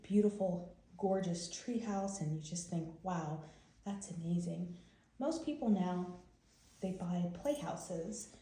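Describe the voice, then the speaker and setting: Slow-speaking woman reading to children, roomy sound. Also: fairly low pitch, mellow. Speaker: children's read-aloud host. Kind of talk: bedtime story read-aloud with commentary for young children. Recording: roomy, echoing home recording.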